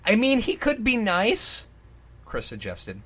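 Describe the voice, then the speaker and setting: Sarcastic, animated man with higher-pitched voice. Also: slow. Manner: sarcastic, incredulous, sweary. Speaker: co-host of a comedy reading show. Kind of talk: casual profane banter and dramatic reading.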